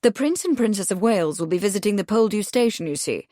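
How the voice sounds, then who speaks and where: A woman playing a reserved gentleman husband who speaks in a calm, measured way. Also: dry recording, clean studio sound, natural voice. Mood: earnest. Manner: measured, low and earnest.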